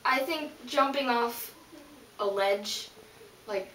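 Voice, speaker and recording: Woman playing slow, bright-voiced rock dude, roomy sound. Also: on the high side. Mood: rambling, sheepish. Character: male rock singer being interviewed, dim, rambling, deadpan. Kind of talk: improvised mock interview. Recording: roomy, distant mic, faint noise.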